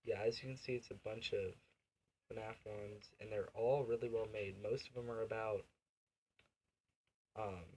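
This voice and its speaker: A man hosting a reaction video, chatting casually in a loose, unhurried, offhand way. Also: on the low side, steady tone, darkish tone, slightly rough, poor audio. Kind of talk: casual chat. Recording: faint background noise.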